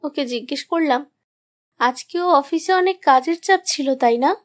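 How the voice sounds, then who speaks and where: A very high-pitched young wife played by a woman tells her cartoon horror story. She sounds anxious and suspicious of her husband, with dramatic, troubled delivery. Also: moderate pace, natural voice. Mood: worried, suspicious. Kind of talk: first-person scripted horror story narration.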